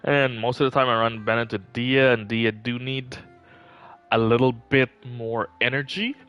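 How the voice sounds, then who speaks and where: A man's voice: medium pitch, flat delivery, moderate pace, fairly bright tone, clear, resonant tone, lo-fi sound. A man doing casual live gaming commentary, chatting and thinking aloud as he plays. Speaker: game livestreamer. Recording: music playing behind. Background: music.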